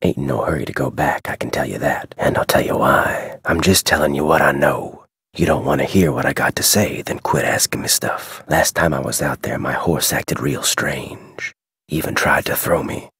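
An actor playing a gruff, guarded head wrangler in a video game, with a terse, folksy American Western drawl. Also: male voice, extremely low pitch, moderate pace, a bit bright, dry recording, clean studio sound, heavily acted. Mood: wary. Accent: American Western cowboy drawl. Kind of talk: scripted game dialogue.

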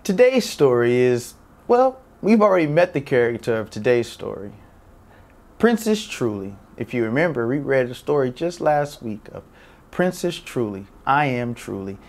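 A man in his thirties hosts a read-aloud for young children. His medium-pitched voice swings up and down in an animated, upbeat way, with a smile in it. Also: normal speed. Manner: expressive, upbeat, smiling delivery. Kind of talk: presenting a story to young children. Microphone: lapel mic.

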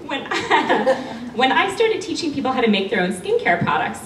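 A Canadian woman in her thirties with a loud voice, giving a passionate talk with a handheld mic to a small audience. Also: medium pitch. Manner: passionate, emotional, laughs often. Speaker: guest speaker, cosmetics maker. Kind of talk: public talk at a panel event. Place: small studio event room with an audience. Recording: roomy sound from a far camera, faint hum.

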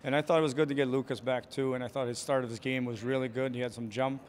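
A man in his forties, a hockey coach answering postgame questions quickly and plainly, with many fillers, into a handheld mic in an arena hallway. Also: mid-range voice, steady tone, slight background noise. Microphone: handheld reporter mic.

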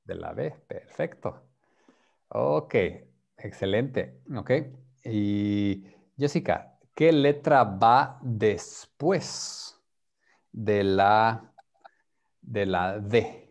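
A male language teacher on a video call, leading a beginner group class slowly and patiently. His speech is very unhurried, with a lively pitch and a gravelly edge. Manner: patient, prompting students, mixing Spanish and English. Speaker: Spanish teacher.

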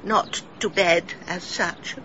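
A woman's voice: on the low side, steady tone, slow pace, dull audio, noisy background. An actress playing a genteel middle-aged English village woman in a radio drama, confessing in a wistful, melodramatic, theatrical way. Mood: sheepish, fond. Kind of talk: British radio drama acting.

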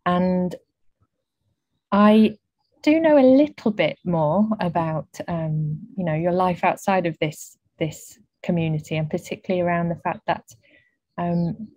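A middle-aged woman hosting an interview on a video call, giving a measured introduction from indoors. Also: lowish voice, warm tone, no echo. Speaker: host. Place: dark quiet indoor room.

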